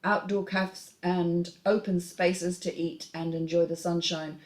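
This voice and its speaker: A woman's voice: lowish voice, little pitch movement, a little slow. A woman narrating a screenplay, reading out scene headings and stage directions as scripted narration.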